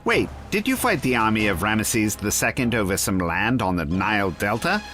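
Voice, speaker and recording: An actor playing an eccentric, bookish time-traveller in an audio drama, witty and defiant, with a wry, articulate way of talking. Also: male voice, fairly low pitch, big pitch swings, moderate pace, fairly bright tone, soft background music. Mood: excited, rambling.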